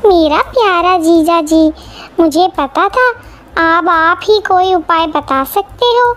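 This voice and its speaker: A woman's voice: extremely high pitch, slow, fairly bright tone, faint music behind, exaggerated voice. A woman voicing a lazy, scheming crow wife who nags and taunts in a children's cartoon. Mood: coaxing. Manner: bossy, taunting. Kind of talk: Hindi children's cartoon acting.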